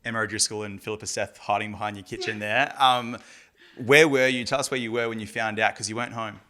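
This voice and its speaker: A man's voice: lowish voice, steady tone, fast, pushed and bright. A man interviewing a newly drafted player for the club's channel, asking friendly, upbeat questions. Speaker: club interviewer.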